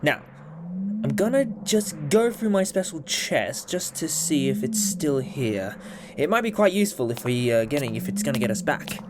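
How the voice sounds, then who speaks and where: A man acting a brisk, upbeat time-travelling alien adventurer in a fan audio drama, playful with hesitant asides. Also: a little high, sing-song, very noisy. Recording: loud music behind.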